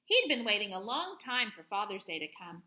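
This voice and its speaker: A woman host reading a children's story aloud in an expressive, playful way, with plenty of sound words. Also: medium pitch, moderate pace, echoey room.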